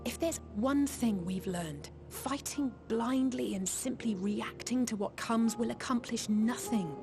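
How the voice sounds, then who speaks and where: An actress voicing a resolute, analytical young adventurer in a fantasy video game, articulate and deliberate. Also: female voice, a little high, darkish tone. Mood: urgent, determined. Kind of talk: scripted video game voice acting. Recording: background music under the voice.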